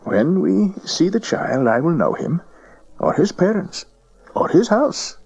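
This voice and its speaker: A man's voice: sits high, big pitch swings, measured pace, a little mellow, husky, highs cut off, exaggerated voice. An actor playing a reverent, visionary wise man from the East in a Bible audio drama, speaking solemnly and expressively. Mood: wondering.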